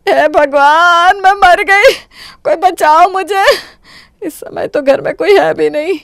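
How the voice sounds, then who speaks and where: A woman voicing a quick-tempered, berating Indian mother-in-law in a Hindi cartoon story. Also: very high-pitched, fairly bright tone, no room sound, clean studio sound, exaggerated voice. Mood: panicked. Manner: harsh, exclamatory, berating.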